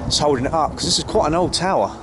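A man's voice: on the high side, rapid-fire, very noisy. A man, exploring an overgrown site outdoors and commenting casually to an arm's-length camera, with plenty of ums and uhs. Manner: chatty, hesitant with many fillers. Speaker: urban explorer vlogger. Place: outdoors in overgrown grounds of an old tower. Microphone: action camera held at arm's length.

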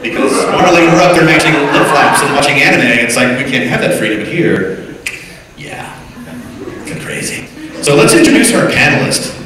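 A man's voice: on the high side, expressive, moderate pace, noisy background. Echoey American man hosting a live panel. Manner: slangy, informal, rambling. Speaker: panel host. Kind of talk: casual, joking live panel introduction. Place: hotel conference room before an audience. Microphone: handheld PA mic, captured by distant camera. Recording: very echoey, distant, busy crowd behind. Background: audience crowd.

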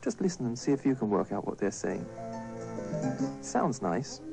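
A woman's voice: rumbling low, huge pitch swings, moderate pace, creaky, over loud music, poor audio. A woman presenting on radio, casually introducing songs.

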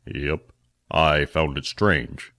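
A man voicing Phil, a stern scaled male creature who talks low, slow and scolding. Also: steady tone, sharp tone, full character voice. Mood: dry.

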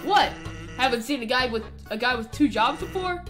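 A woman's voice: fairly high pitch, animated, moderate pace, music in the background, voice pushed down, close to an everyday voice. A woman voicing a laid-back, lazy male skeleton from a game.

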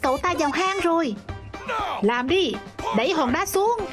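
Woman dubbing a cartoon over loud music. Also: very high-pitched, animated, moderate pace. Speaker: dubbing narrator voicing all cartoon characters. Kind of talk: single-voice cartoon dub.

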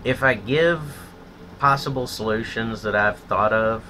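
A man's voice: fairly low pitch, measured pace, bright, forceful tone, slight background noise. A man delivering a blunt, profane, contemptuous opinion rant as a solo vlogger.

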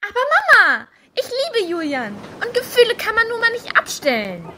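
A woman's voice: very high voice, wildly swooping pitch, normal speed, pushed and bright, slight background noise, heavily acted. A young woman playing a defiant, exasperated sixteen-year-old witch girl in an audio drama. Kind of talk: German audio drama acting.

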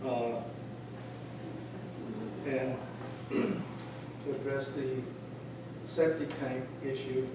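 A man presents his request in a halting way, pausing often and correcting himself. His voice carries from far off in a large, echoey chamber, with room noise plain behind him. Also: medium pitch, fairly even intonation. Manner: halting, many fillers and self-corrections. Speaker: applicant. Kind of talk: public comment at county meeting. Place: large county commission chamber. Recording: echoey chamber, distant mic, clear ambience.

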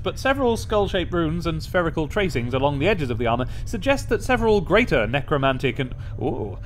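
A young man giving a gaming commentary, thinking aloud and reading game text in a playfully expressive way, close to the mic. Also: medium pitch, a little hiss or hum. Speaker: let's play host. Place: small indoor room at a desk. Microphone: close studio mic on an arm. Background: game music.

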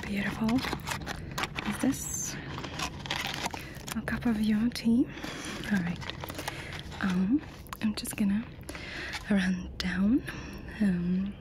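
Slow, whispering woman in ASMR roleplay. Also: medium pitch. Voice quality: breathy, whispery. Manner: gentle, attentive, unhurried personal attention. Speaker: ASMR performer playing a first-class train attendant. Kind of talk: soft-spoken ASMR roleplay, mostly whispered. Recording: steady ambient noise behind the voice. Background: clear ambient noise.

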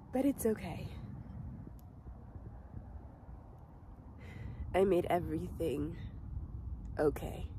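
A woman performing a dramatic monologue as a bitter, desperate teenage outcast on an emergency call, halting and emotionally intense. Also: lowish voice, mellow, slight background noise. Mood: unsettlingly resigned. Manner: slow, halting, emotionally intense delivery with pauses.

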